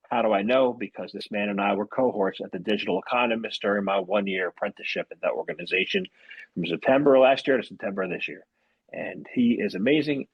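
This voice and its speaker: Male host, upbeat, husky, repeats himself a little, dry sound. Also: on the low side, dry recording, dull audio. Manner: upbeat, repeats words when unscripted. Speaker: podcast host. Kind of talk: podcast interview hosting.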